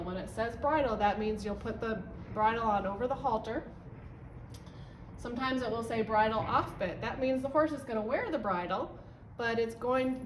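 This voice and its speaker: A woman's voice: a little high, a little hiss or hum. Woman explaining a barn board, roomy. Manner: explanatory, pointing at a whiteboard while talking, frequent ums. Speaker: volunteer trainer. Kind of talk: instructional walkthrough. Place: indoor barn office with a wood-paneled wall. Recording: roomy, slightly distant sound with faint ambience.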